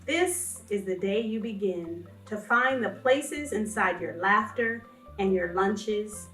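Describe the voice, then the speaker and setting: A woman's voice: medium pitch, slow pace, fairly bright tone. A woman co-hosting a read-along for young children, reading a picture book aloud with expression. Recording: roomy, distant microphone, music behind.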